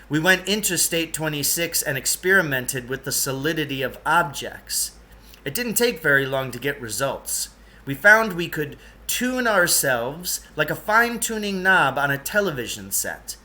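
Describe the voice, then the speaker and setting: A man reading a storybook aloud as narrator, with animated, scripted delivery. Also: a little high. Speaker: story reader.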